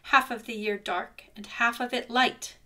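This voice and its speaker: A woman voicing a big, fat, lazy male bear in a spoken folktale, with a deep gruff growl, loud and slow. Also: fairly even intonation.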